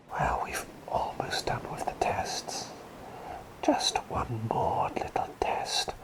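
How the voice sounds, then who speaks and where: A man acts out a masked plague doctor tending to a patient in a soft whisper. The voice is very deep and unhurried, fussy yet soothing, repeating little phrases as it muses. It is an intimate ASMR roleplay with a breathy, swaying delivery. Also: full character voice, rough recording. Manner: unhurried, soothing, musing, repeats words softly. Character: gentle, fussy, attentive male plague doctor in a mask.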